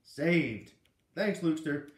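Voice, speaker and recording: A man reading a storybook as a brash, excitable schoolboy, loud, showy and emphatic. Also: a little high, slow, voice pushed up. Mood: excited. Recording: roomy, echoey home recording.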